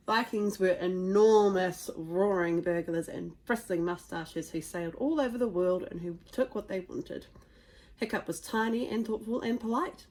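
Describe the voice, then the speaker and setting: Expressive woman reading a children's story. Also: medium pitch, moderate pace. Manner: expressive, dramatic emphasis. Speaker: storybook reader. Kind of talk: children's story read aloud.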